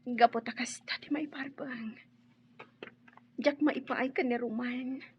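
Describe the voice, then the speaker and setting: An actress playing an ailing young woman who speaks weakly and in a breathy whisper, in a radio drama. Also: high voice, fairly even intonation, slow, a little hiss or hum. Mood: weak, resigned. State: ill. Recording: muffled lo-fi audio.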